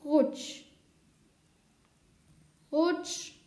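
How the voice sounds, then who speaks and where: A woman's voice: extremely slow, warm tone. A woman voicing a grumpy, bossy crow from a children's storybook, nagging in exclamations. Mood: impatient. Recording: roomy, echoing sound.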